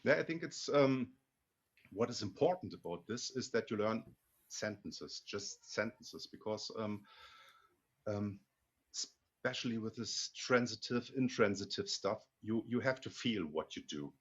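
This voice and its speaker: Guest spot: a German-accented man, low and husky, stop-start delivery with a lot of self-corrections, over a dull lo-fi recording. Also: animated. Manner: halting, many restarts and repeated words. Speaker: interview guest, Hungarian learner. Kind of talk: casual interview conversation.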